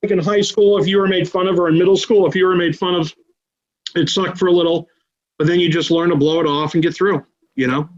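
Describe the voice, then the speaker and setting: Man, thirties, somewhat high pitch, blunt, sweary, casual chat, dull lo-fi recording. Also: steady tone, quick pace. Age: 30s. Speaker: podcast guest and YouTuber.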